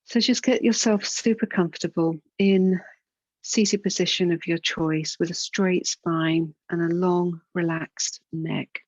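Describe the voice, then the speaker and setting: A woman with a fairly low voice guides a relaxation practice as a yoga instructor. She speaks gently and without hurry, leaving pauses between instructions. The recording is dry and a little dull. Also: steady tone, no echo. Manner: soothing, unhurried, gentle guidance with pauses.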